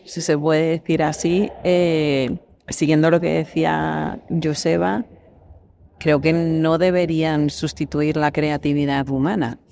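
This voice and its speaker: Woman, creaky voice, measured and reflective conversational delivery for a panel chat. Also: low voice, darkish tone, slight background noise. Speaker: voice actor, podcast guest. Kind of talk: casual panel discussion.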